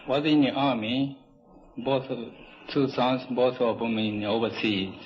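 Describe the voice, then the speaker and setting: A man, giving short, halting, polite interview answers. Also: mid-range voice, fairly even intonation. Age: 50s. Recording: muffled, noisy old field recording.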